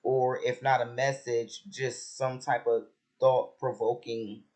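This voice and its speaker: Slow-talking raspy man. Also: mid-range voice. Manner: relaxed, rambling, many fillers and restarts. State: just woken up. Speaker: reality TV commentator. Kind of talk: casual chat about a TV episode.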